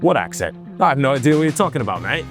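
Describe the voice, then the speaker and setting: A man's voice: mid-range voice, sing-song, racing, faint music behind, natural voice. A man playing a self-important nature documentary presenter, in a mock documentary style. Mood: defensive. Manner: pompous, theatrical. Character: pompous, self-important male nature documentary host, affected accent.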